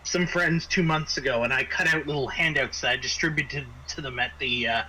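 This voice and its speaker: Bright-voiced man running a tabletop game. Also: medium pitch, brisk, poor audio. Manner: hesitant, stop-start explaining. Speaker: guest game master. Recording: faint background noise.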